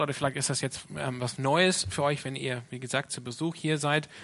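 A man's voice: on the high side, bright, forceful tone. A man from Australia with an Australian English accent, a native English speaker, preaching an informal sermon in a plain-spoken, earnest way. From Sydney, Australia.

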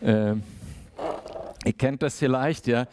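Male preacher, casual and talky, mid-pitched, plays out little scenes. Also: slow, darkish tone, a little hiss or hum. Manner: conversational, acts out small dialogues. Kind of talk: sermon.

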